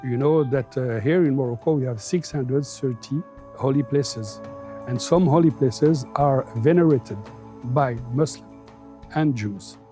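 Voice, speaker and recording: A man with a mellow, warm voice speaks as the head of a religious community. His answers are earnest, with small hesitations. Faint music plays behind him. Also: mid-range voice, a little slow. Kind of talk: interview answers.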